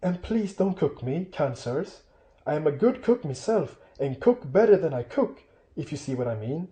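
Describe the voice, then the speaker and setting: A timid little hobbit in a children's tale, performed by a man, pitched high and anxious. He is polite and pleading, tripping over his words in fright. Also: very animated, moderate pace, mellow.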